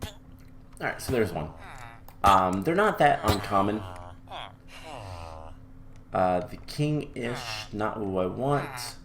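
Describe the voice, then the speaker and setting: A man giving relaxed, chatty commentary over a modded game, his voice a little off because he is coming down with a cold. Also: fairly low pitch, slow, long pauses. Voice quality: a little off from illness. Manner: relaxed and chatty with many ums. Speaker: gameplay commentator. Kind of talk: casual let's-play commentary.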